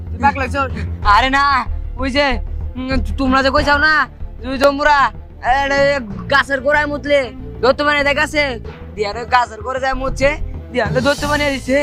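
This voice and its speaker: A man playing a cheeky young college student who talks in a quick, brash way. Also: very high-pitched, pushed and bright. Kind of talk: comedic village drama.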